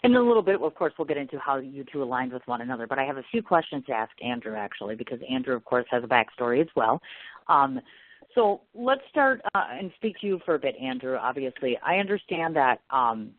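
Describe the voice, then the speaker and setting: A woman's voice: very deep voice, very animated, quick pace. A woman hosting an interview show, effusive and exclamatory in her reactions. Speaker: show host. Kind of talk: casual interview chat. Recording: tinny phone line, dry.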